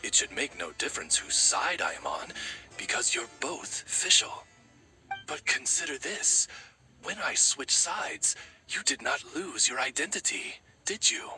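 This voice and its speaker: A courtly raven retainer from an anime game, devoted and wise. His mid-pitched voice is crisp and very bright, with formal, eloquent phrasing over soft music. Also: big pitch swings, heavily acted. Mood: deferential, probing. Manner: formal, eloquent, deliberate addresses. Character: courtly male raven companion, devoted, wise and gentle servant. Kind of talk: scripted anime game voice acting. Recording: music behind the voice.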